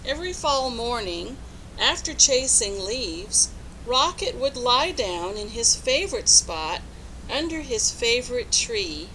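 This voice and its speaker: Female storybook reader: high, very lively pitch, unhurried, instructive, faint rumble behind. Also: poor audio. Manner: expressive, instructive, addressing young children. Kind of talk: children's read-aloud, asking listeners questions.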